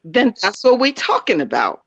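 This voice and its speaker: A raspy-voiced man joining a live chat as a guest, playfully teasing a juicy story. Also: sits high, wildly swooping pitch, moderate pace, fairly bright tone, highs cut off. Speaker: guest caller. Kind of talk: casual live-stream chat.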